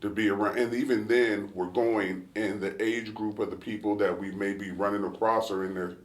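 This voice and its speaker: A middle-aged man talking casually with his son in an interview, relaxed and often searching for words. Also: fairly low pitch, normal speed, far from the mic. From United States. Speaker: interviewee, father. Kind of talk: casual family conversation. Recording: roomy, off-mic.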